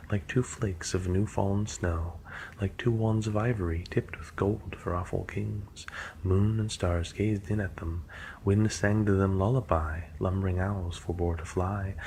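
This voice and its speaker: A man reading a long poem aloud as an ASMR narrator, softly and slowly, sometimes dropping to a whisper. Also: low voice, a little hiss or hum. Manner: soft, slow, dips into whispers.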